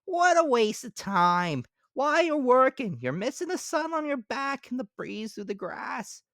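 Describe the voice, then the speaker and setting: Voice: high voice, very animated, a little slow, a bit bright, no echo, clean studio sound, pitched above the performer's own voice, full character voice. A storyteller voicing a carefree, flighty female grasshopper in a storybook fable, with exclaiming, theatrical delivery. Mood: dismissive, breezy. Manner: exclaiming, theatrical, sing-song. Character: carefree, flighty female grasshopper, dismissive then pitifully remorseful. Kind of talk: dramatic storybook character reading.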